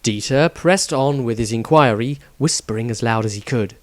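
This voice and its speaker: A man narrates a fantasy story in a steady, measured manner with a mid-pitched voice. The sound is dry and close, with no room around it. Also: no room sound. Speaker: audiobook reader.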